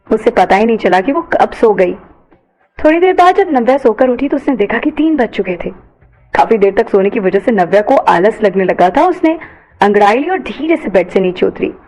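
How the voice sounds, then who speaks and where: A woman's voice: a little high, very animated, normal speed, a little mellow, soft background music, poor audio. A woman reading scripted narration for an audio story.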